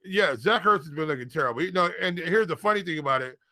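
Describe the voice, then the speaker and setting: A man's voice: a little high, expressive, fast, sharp tone, dry recording, clean studio sound. A man co-hosting a casual sports chat, giving picks in informal, slangy talk and repeating phrases for emphasis. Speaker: fantasy football podcast co-host.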